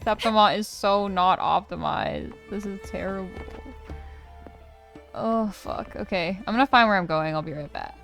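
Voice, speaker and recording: A woman's voice: medium pitch, normal speed, fairly bright tone. A young woman doing casual let's play commentary, slangy, reactive and given to swearing. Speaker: gaming streamer. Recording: game music behind the voice.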